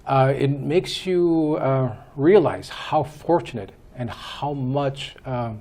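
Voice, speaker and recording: A man in his fifties from Peru, answering interview questions as a guest in a measured way with many hesitations. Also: mid-range voice, dark tone. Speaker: guest, school board candidate.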